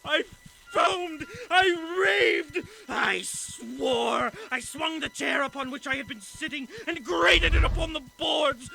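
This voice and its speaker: High-pitched, creaky, unhinged murderer. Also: male voice, full character voice, low-quality recording. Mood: frantic, raving. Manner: theatrical, deliberate, swinging between hushed confiding and shrieking. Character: mad, guilt-ridden murderer confessing, boastful then frantic. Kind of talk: dramatic audio drama monologue of gothic horror.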